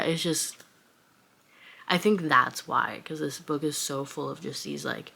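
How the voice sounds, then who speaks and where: Young adult woman, low-pitched, casual unscripted talk, rambling, informal, book vlogger. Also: gravelly, a bit bright, husky.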